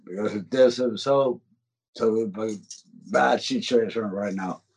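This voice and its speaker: A man with a Finnish accent, a band member guesting on a podcast, speaking halting English and searching for words. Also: lowish voice, darkish tone, slightly rough, rough recording. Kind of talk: casual interview chat.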